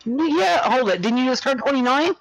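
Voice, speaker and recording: High lively woman in her fifties. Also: normal speed, no echo, poor audio. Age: 50s. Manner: laughs often, teasing. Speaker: streamer and raid player. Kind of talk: casual voice chat.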